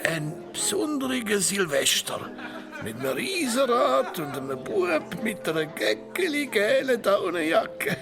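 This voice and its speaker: A forgetful, giggly grandfather in his nineties from a children's radio play, with a Swiss German accent. The voice is high and heavily cracked, swinging up and down at a slow, halting pace. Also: very animated, music in the background, a crowd in the background, full character voice. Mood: giddy, nostalgic. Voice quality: cracked, creaky old voice. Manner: halting, repetitive, chuckling. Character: forgetful, giggly 95-year-old rich grandfather.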